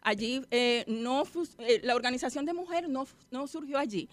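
A woman's voice: sits high, moderate pace, dry recording. A middle-aged woman, explaining things earnestly as a guest in a live interview. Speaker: guest, hairstylist and women's organization leader.

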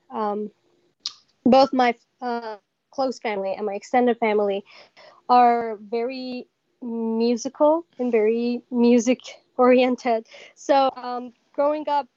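A young woman from Iran, a band's lead singer, answering interview questions thoughtfully with frequent pauses. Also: a little high, darkish tone, dry recording, muffled recording. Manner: thoughtful, many pauses and fillers. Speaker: lead vocalist of a metal band.

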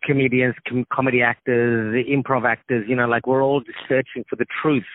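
A man with an Australian accent, an actor and comedian, chatting relaxed and talkative as a guest in a phone interview, laughing easily. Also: medium pitch, a little fast, dry recording. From Sri Lankan family, grew up in Australia. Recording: tinny phone line.

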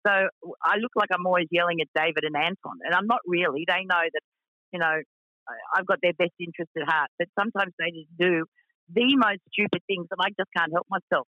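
A woman with a deep voice who owns a racing team, talking frankly with lots of fillers in a radio interview. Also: fairly quick, bright, forceful tone, no room sound, muffled recording. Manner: frank, chatty, many fillers.

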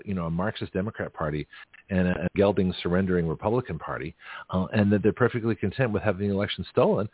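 A man's voice: low-pitched, husky, dry recording. A middle-aged man hosting a radio talk show, rambling and laughing often at his own jokes. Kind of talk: casual political call-in chat. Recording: phone-like narrow band.

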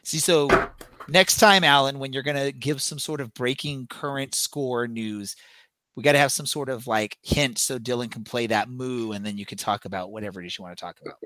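A man's voice: mid-range voice, fairly quick, sharp tone. A man hosting a casual podcast, teasing his co-hosts in an upbeat way. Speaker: podcast host.

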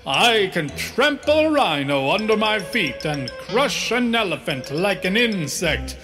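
Boastful centipede warrior, slow grand delivery, high animated pitch, children's storybook, music behind. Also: male voice, exaggerated voice. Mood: nervous bluster. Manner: pompous, slow booming declamation. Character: tiny male centipede posing as an invincible, boastful warrior. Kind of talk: children's storybook character acting.

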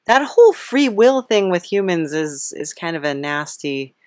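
Female podcast host, lowish pitch, dry sound, fumbling and bemused through an improvised comedy interview. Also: very animated, a little mellow, no room sound. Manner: hesitant, halting, bemused, chuckling delivery.

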